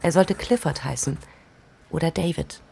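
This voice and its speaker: Eine Schauspielerin spielt im Hörspiel eine Romanautorin in den Dreißigern, die ihre Notizen laut denkend vorträgt. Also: female voice, low voice, moderate pace, gravelly, a little mellow, slight background noise. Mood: dreamy. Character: young female novelist of thirty-three, keen, sketching her ideas. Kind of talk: radio play diary monologue.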